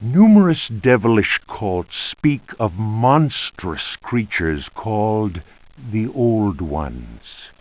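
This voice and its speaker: Stern churchman, game book reading, ominous, unhurried, widely varied intonation, solemn. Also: on the low side, very animated, darkish tone, no room sound, full character voice. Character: stern male churchman warning of devilish cults. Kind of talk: scripted dramatic reading of an old book.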